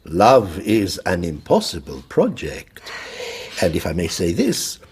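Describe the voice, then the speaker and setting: An actor playing an elderly, authoritative psychoanalyst in a radio drama, husky and deliberate, with a wry lecturing manner. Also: male voice, sits low, wildly swooping pitch, full character voice.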